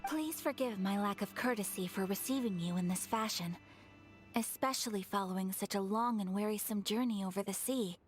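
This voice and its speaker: A woman's voice: medium pitch, fairly quick, soft background music, natural voice. An actress playing a graceful young noblewoman who speaks formally and earnestly.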